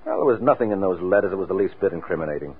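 An actor playing a wry, dogged private detective in an old radio crime drama, quick and wisecracking. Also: male voice, sits low, lively intonation, slightly rough. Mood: puzzled, dogged. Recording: tinny vintage radio recording.

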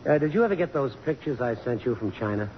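A man's voice: mid-range voice, animated, fast talker, close to an everyday voice. Casting: male actor for brash, insistent ex-lover with glib patter, 1950s radio play, reverberant and faintly noisy. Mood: needling, flirtatious. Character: brash, teasing male photographer and old flame. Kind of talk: 1950s radio drama acting. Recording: dull, reverberant, noisy old radio broadcast.

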